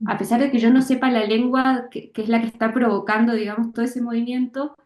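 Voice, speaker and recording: Woman writer, higher voice, halting reflective talker, roomy echoey sound. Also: flat delivery, darkish tone. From Misiones, Argentina. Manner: hesitant, searching for words, many fillers and restarts. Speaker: author interviewed about her book. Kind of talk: thoughtful conversational interview.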